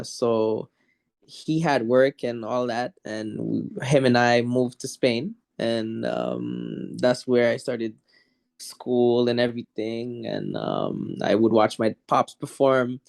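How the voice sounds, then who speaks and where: A young man from Morocco chats as a guest musician, relaxed and rambling. His voice is mid-pitched with a gravelly, fry-laden edge. He laughs easily between thoughts. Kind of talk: casual interview.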